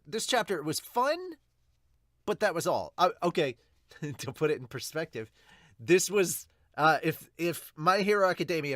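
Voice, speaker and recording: A man's voice: fairly high pitch, a bit bright, no room sound, clean studio sound. A man hosting a podcast, giving a casual, unscripted reaction and review in a rambling, informal, sweary way. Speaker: podcast host reviewing manga.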